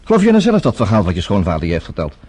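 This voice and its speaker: An actor playing a sceptical, urbane bachelor journalist in a Dutch radio play, drily casual with small hesitations. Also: male voice, lowish voice, wildly swooping pitch, very fast, darkish tone, slightly rough, full character voice.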